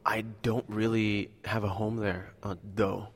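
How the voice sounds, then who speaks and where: Young guy from indonesia, kinda low voice, lots of ums, roomy echo. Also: little pitch movement, unhurried. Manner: hesitant, many fillers. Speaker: interviewee. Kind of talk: casual conversation.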